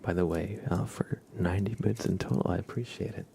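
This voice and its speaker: A man hosting an ASMR livestream, chatting softly and gently and often slipping into whispers. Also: low-pitched, fairly even intonation, fairly quick, vocal fry, slight background noise. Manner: gentle, hushed, drifting into whispers. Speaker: livestream host.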